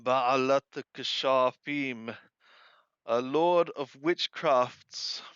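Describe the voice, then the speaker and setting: A man reading scripture aloud and translating it phrase by phrase, halting and deliberate, correcting his wording as he goes. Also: mid-range voice, fairly bright tone, smooth, dry recording. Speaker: amateur Bible reader and translator. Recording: dull lo-fi close recording.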